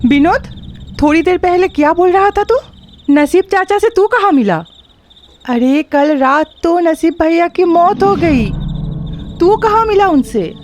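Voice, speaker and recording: A woman playing a concerned, gentle village mother in a cartoon horror story, uneasy yet soothing. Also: extremely high pitch, animated, unhurried, noisy background. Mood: uneasy questioning.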